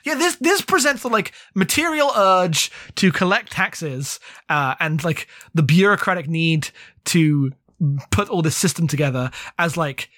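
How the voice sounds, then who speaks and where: A man's voice: fairly high pitch, big pitch swings, measured pace, bright, forceful tone, dry recording, studio quality. A man co-hosting a podcast in a casual book discussion, opinionated and sweary, rambling along with lots of "like". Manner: rambling, heavy use of "like". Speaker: podcast co-host.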